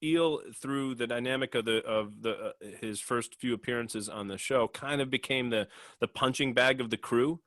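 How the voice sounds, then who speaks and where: A man's voice: medium pitch, normal speed, fairly bright tone, no echo, studio quality. A man co-hosting a podcast in casual discussion, rambling and hesitant as he works toward his point. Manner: hesitant, rambling, laughs easily. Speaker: podcast host and player.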